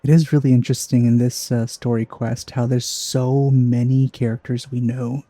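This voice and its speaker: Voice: medium pitch, flat delivery, normal speed, dark tone, no room sound. A let's play commentator chatting casually over a game in an amused, offhand way.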